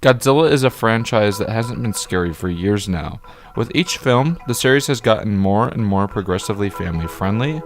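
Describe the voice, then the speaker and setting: Man, lowish voice, scripted review narration, emphatic wordy delivery, clear background music. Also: expressive, normal speed. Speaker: video reviewer and channel host.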